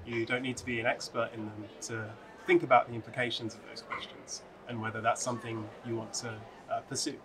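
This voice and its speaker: A young man from the UK, a recent PhD graduate, giving advice in a steady, even way. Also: medium pitch, brisk, hardly any pauses, poor audio. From United Kingdom. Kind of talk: answering interview questions to camera. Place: large open atrium, indoors. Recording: crowd chatter behind the voice.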